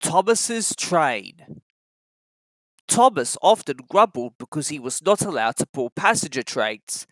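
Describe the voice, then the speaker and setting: Storybook narrator reading for kids, really animated pitch, dry close recording. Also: takes it slowly, no room sound. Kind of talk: expressive children's read-aloud narration.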